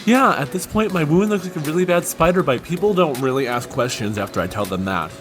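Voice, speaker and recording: A man's voice: a little high, expressive, brisk, soft background music, lightly acted. A man playing a goofy, nervy, friendly young man in an audio drama, talking casually and a bit flustered. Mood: breezy.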